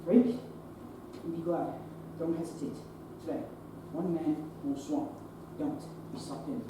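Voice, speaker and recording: A young actress playing a stern male hospital chief who lectures in clipped, forceful sentences on a stage. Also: low voice, fairly even intonation, takes it slowly. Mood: stern, lecturing. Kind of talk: stage play acting. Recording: echoey stage from far off, noisy.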